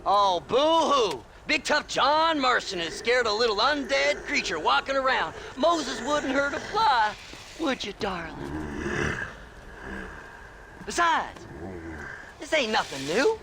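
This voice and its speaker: Very high, slow, unhinged western oddball. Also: male voice, sing-song, full character voice. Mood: mocking. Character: unhinged, giddy, creepily affectionate male western oddball. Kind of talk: dramatic video game cutscene acting. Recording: clear background noise under dialogue.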